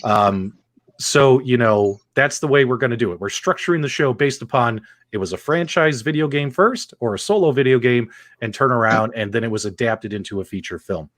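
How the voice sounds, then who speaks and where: A man's voice: medium pitch, brisk, fairly bright tone, no echo. A man hosting a casual live talk show about video game movies, chatty and laughing, with frequent fillers. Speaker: host. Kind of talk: casual livestream chat.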